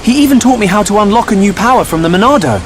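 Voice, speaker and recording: A man's voice: high-pitched, expressive, very fast, slight background noise. A man with a British accent voicing an earnest, inquisitive young hero in a dramatic video game. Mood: insistent.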